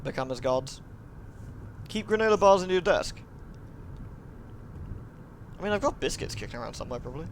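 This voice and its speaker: A man's voice: a little high, expressive, brisk, pauses often, slight background noise. A man livestreaming, switching between polite, thankful chat with viewers and reading narration aloud. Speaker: live streamer reading a visual novel aloud.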